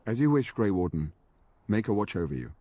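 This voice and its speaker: An actor playing an earnest, devout knight in a fantasy video game, formal and solemn, resigned to a doomed battle. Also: male voice, on the low side, big pitch swings, a little fast, dark tone, dry recording. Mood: somber, dutiful.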